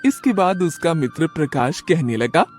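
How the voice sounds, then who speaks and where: A woman's voice: low voice, expressive, moderate pace, a little mellow, faint music behind. Woman narrating a storybook tale.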